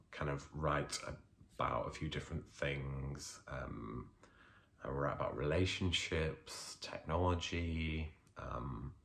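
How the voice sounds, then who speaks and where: A man's voice: very deep voice, vocal fry, fairly bright tone, a little hiss or hum. A man, working as a poet, gives a hesitant introduction full of fillers and then reads his poems aloud. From Leeds, England. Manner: hesitant, unhurried talk with many fillers. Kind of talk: introduction and poetry reading.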